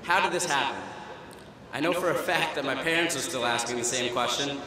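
A man's voice: mid-range voice, a little fast, noisy background. Young man giving a wry speech in a big echoing hall. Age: young adult. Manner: wry, self-deprecating, timed for laughs. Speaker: student commencement speaker. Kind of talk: humorous graduation speech. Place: large auditorium stage at a podium. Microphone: podium microphone. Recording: echoey hall through a PA system. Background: audience laughter and hall ambience.

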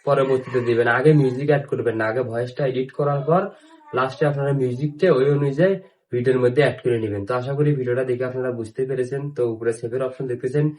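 A man's voice: medium pitch, steady tone, quick pace, a little mellow. A man hosting a casual step-by-step app tutorial, with repetitive, instructional phrasing. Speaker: tutorial host.

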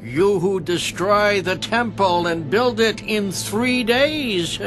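A man's voice: high voice, takes it slowly, music in the background. An actor playing a taunting male passerby in a dramatized audio Bible, stretching out his mocking words. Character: jeering male passerby mocking a condemned man.

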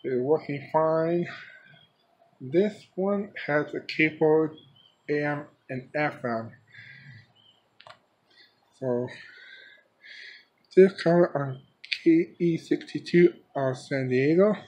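A man giving an unscripted showcase of his collection in halting, fragmented phrases, recorded on a handheld camera in a room. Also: a little high, a little mellow, husky. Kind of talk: unscripted product showcase vlog. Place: small room by a window. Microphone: handheld camera, speaker off screen. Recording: background noise, homemade handheld camera audio.

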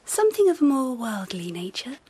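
An actress playing a gracious but capricious empress of old Japan in a radio drama, regal and commanding with a breathy voice. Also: female voice, high voice, sing-song, a little fast, warm tone, full character voice.

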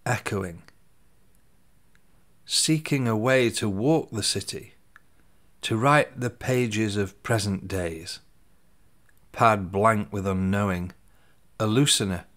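Slow, low-voiced poet. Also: male voice. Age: 50s. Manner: deliberate, pausing between short phrases, voice dropping at line ends. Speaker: poet reading his own poems. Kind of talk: slow, measured poetry reading. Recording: soft music underneath.

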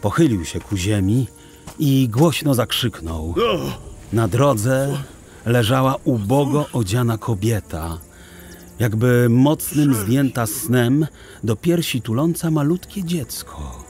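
A man narrating a literary story for an audio drama in a measured, expressive and solemn way. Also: medium pitch, soft background music.

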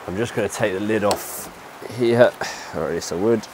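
A man's voice: on the low side, normal speed. A man in his thirties with a stuffy nose from a cold, casually explaining a DIY job step by step while he works outdoors. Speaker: DIY vlogger. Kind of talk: casual how-to walkthrough. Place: outdoors under a shelter in the rain. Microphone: lapel mic. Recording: light rain noise behind.

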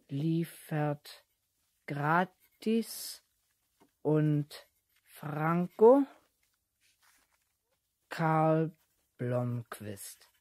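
A man's voice: on the high side, a little mellow, no room sound. A male actor playing an earnest, self-important boy detective in a 1970s children's radio play.

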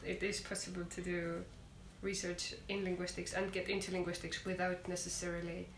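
Young Estonian woman, English as second language, lowish voice, chatty interviewer, echoey room. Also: little pitch movement, fairly quick. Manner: chatty, filler-heavy, lots of "like". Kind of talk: casual conversational interview. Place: living room, seated on a sofa. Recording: roomy living room with faint hiss.

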